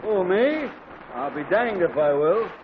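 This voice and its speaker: An actor playing a gruff, put-upon middle-aged father who grumbles at everything in a 1940s radio comedy. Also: sits high, very animated, measured pace, loud music behind the voice. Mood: grumbling. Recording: muffled radio broadcast with loud hiss.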